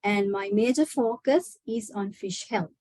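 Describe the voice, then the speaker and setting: Young woman, student presenter on a webinar, slow and halting, lots of fillers, dry close sound. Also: mid-range voice, warm tone, dry recording. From Sri Lanka. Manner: hesitant, many filler sounds.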